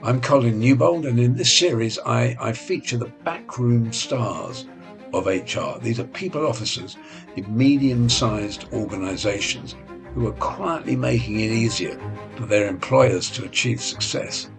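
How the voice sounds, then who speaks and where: A man hosting an interview podcast, polite and measured, with formal courteous phrasing. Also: mid-range voice, vocal fry, music in the background. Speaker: podcast host.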